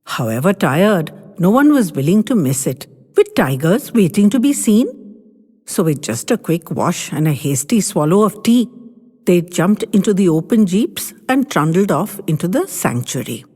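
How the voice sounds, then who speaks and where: A woman's voice: on the low side, very animated, moderate pace, warm tone, breathy edge. A woman voicing a grandchild who tells the family a story with teasing, bossy confidence. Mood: storytelling. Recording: long hall reverb.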